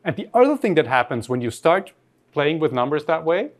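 Animated, thoughtful male lecturer from Austria. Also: fairly high pitch. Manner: thoughtful, halting with frequent rephrasing. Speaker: academic lecturer. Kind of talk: reflective academic lecture, spoken off the cuff.

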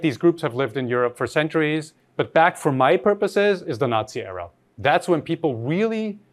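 A man from Austria, giving an academic lecture in a thoughtful way, often rephrasing mid-sentence and putting questions to his audience. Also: mid-range voice.

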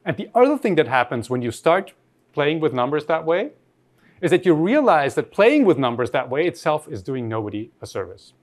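A man delivers an academic lecture, his fairly high voice rising and falling in lively swings. He works through ideas aloud, pausing to rephrase and posing questions to the listeners. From Austria. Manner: thoughtful, rephrases mid-sentence, asks the audience questions.

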